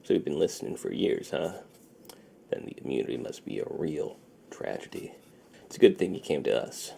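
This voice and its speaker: A man doing a soft-spoken ASMR roleplay as a shady, friendly underground dealer, with slow folksy patter that often drops to a near-whisper. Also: lively intonation, slight background noise. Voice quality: gravelly, low, often breaking into near-whisper.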